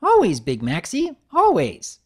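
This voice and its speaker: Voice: mid-range voice, huge pitch swings, a little slow, breathy edge, voice pushed up, exaggerated voice. A narrator voicing a bubbly, hyperactive young female cartoon pony, gushing and sing-song. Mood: cheerful.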